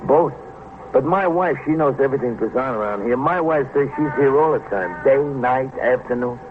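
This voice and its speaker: A man's voice: medium pitch, moderate pace, lightly acted. An American man playing a nosy, folksy building superintendent in a vintage radio crime drama, garrulous, hesitant and colloquial. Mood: talkative. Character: chatty, nosy, folksy married building superintendent, eager to help. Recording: noisy, narrow-band old radio recording.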